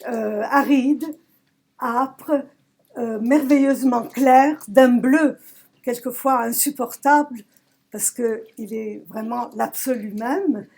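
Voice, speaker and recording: A woman from southern Italy, a poet giving a reflective talk about her work, searching for words with emphatic pauses. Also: a little high, steady tone, a little mellow, low-quality recording. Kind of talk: reflective talk at a poetry recital. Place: public library event.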